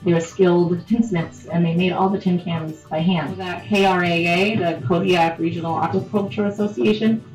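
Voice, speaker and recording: A woman's voice: fairly low pitch, steady tone, moderate pace, hardly any pauses, darkish tone, faint music behind. Young woman, historian, explanatory, roomy distant mic, muffled sound. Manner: explanatory, informative. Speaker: writer and historian. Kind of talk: interview answers. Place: indoors, in a cluttered workroom.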